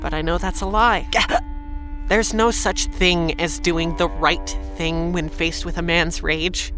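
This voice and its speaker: A frightened, wounded noir heroine narrating in the first person. Her voice is fairly low for a woman and she speaks slowly and haltingly, turned inward, over faint dramatic music. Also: fairly even intonation, a bit bright, low-quality recording. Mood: bitter resignation. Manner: halting, introspective delivery.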